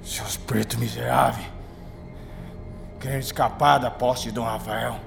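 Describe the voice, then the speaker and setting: An actor playing a cruel, domineering plantation overseer in a radio drama, with a gravelly, taunting delivery. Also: male voice, mid-range voice, fairly even intonation, measured pace. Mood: contemptuous fury. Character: cruel, menacing plantation overseer, a strong man. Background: loud dramatic music.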